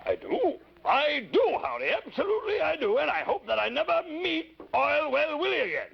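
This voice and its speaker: A man voicing an old, blustering male showman puppet who stammers when excited, in 1950s children's television. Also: extremely high pitch, normal speed, vocal fry, full character voice. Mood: sheepish. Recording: dull old broadcast recording.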